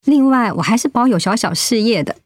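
A woman's voice: fairly high pitch, animated, a little fast, dry recording, clean studio sound. A woman acting a proud, stubborn middle-aged wife and mother in a radio drama, blunt and sardonic. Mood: bitter, resigned.

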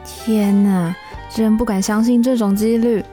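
A woman acts a skeptical young female housemate with a very mellow, dark tone at a fairly high pitch. She speaks in radio drama style, over faint music. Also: fairly even intonation, unhurried, close to an everyday voice. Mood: incredulous.

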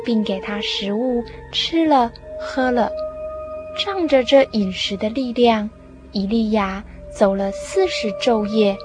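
A woman narrating a radio drama with measured, expressive scripted storytelling. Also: on the high side. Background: music.

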